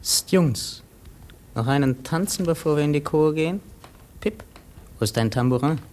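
Actor as an excitable, jaunty sailor. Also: male voice, on the high side, moderate pace, close to an everyday voice. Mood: jaunty urging. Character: excitable, jaunty sailor egging on his mates. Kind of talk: German radio drama acting.

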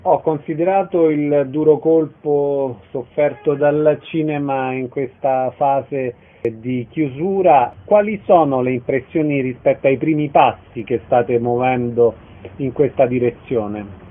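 A man working as a radio interviewer, putting measured questions to a guest. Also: mid-range voice. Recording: narrow phone-like radio sound.

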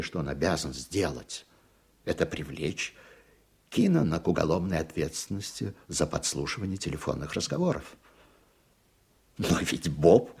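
An actor playing a weary, thoughtful older judge in a radio play, speaking in a reflective, deliberate way. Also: male voice, low-pitched, creaky, a little mellow, husky, heavily acted. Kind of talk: Russian radio play acting.

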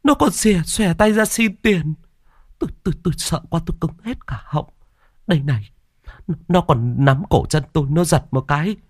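A man's voice: fairly high pitch, expressive, moderate pace, no echo, studio quality, pitched above the performer's own voice. A man doing a stammering frightened old woman. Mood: insistent frightened. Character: terrified older village woman, stammering.

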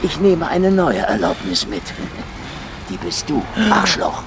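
A man's voice: fairly high pitch, sing-song, a little slow, gravelly, heavy background noise, heavily acted. A man playing a hardboiled, cocky Soviet secret police investigator in a radio crime drama, with a sardonic, menacing, taunting manner. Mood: taunting menace. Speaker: lead actor and first-person narrator.

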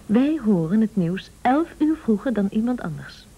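Shrewd scheming wife, Dutch radio drama, husky, animated intonation, coaxing, insistent. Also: female voice, a little high, moderate pace, narrow, tinny audio. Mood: eager, insistent. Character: shrewd, scheming, persuasive wife, practical and bossy. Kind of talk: Dutch radio play acting.

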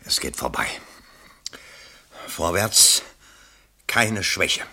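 An actor in a 1970s German radio play, playing a pompous yet anxious election candidate with theatrical oratory. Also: male voice, low voice, sing-song, very slow, sharp tone, husky, full character voice. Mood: nervous self-coaching. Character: pompous, anxious male election candidate rehearsing and declaiming speeches.

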